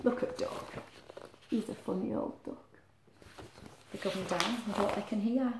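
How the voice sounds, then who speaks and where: A woman's voice: medium pitch, steady tone, a little slow, a little mellow, slight background noise. A middle-aged woman reading a picture book aloud to children expressively, with chatty questions and asides, in a living room. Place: small living room, indoors. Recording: roomy echo of a living room.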